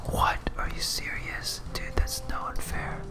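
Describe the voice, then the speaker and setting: Slow, whispering ASMR gamer. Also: over music. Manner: hesitant, absent-minded thinking aloud. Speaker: gaming video host. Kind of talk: whispered ASMR game playthrough.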